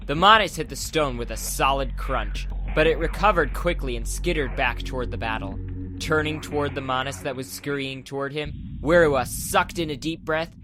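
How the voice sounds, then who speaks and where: A man serves as narrator for a fantasy audio drama. He reads scripted prose in a medium-pitched voice, with a musical score clearly behind him. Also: moderate pace, music in the background.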